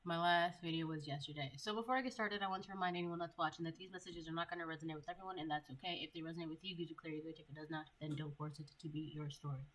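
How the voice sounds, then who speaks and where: A woman's voice: deep voice, fairly even intonation, rapid-fire, hardly any pauses, fairly bright tone, a little hiss or hum, poor audio. A woman with a stuffy nose giving a casual tarot reading. She rambles loosely, with many fillers and restarts. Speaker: tarot reader.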